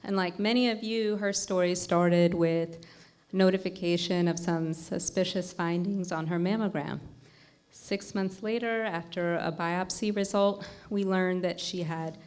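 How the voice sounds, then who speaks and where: A woman's voice: fairly low pitch, steady tone, unhurried, darkish tone, smooth. Woman surgeon giving an upbeat introduction. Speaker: plastic surgeon introducing a speaker. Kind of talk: formal introduction at an event.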